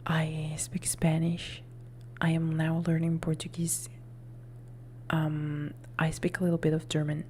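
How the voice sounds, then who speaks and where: A woman whose first language is Italian talks in a soft, close whisper on a live ASMR stream. Her voice is low and dark with a gravelly edge, and she rambles and corrects herself casually. A faint hiss sits behind her. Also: completely flat delivery, measured pace. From Italy.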